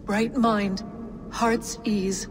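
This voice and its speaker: An actress playing a tender, devoted, sorrowful giantess in a video game, speaking with reverence. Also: female voice, medium pitch, little pitch movement, drawn-out words. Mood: tender, grieving. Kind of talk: cinematic video game acting. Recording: long reverb, clear background noise.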